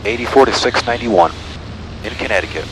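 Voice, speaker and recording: A man's voice: medium pitch, fast talker. A man piloting a small plane, talking in clipped radio calls and casual cockpit self-narration into a headset. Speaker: private pilot and aviation vlogger. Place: cockpit of a small airplane in flight. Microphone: aviation headset. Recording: loud small-airplane cabin noise.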